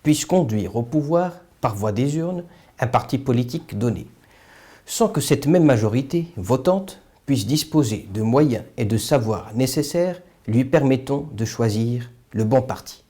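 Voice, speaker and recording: Mellow-voiced man, formal commentary. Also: medium pitch, expressive, unhurried. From Morocco. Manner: formal, literary, rhetorical questioning. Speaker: political commentator, video host. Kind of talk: scripted opinion monologue.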